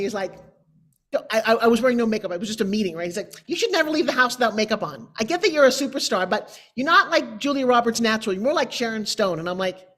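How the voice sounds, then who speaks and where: A middle-aged woman from New York, chatting informally and emphatically as a show host on a video call. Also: mid-range voice. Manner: emphatic, theatrical, conversational asides. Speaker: show host, motivational speaker. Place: indoor room, on a video call. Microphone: video call microphone.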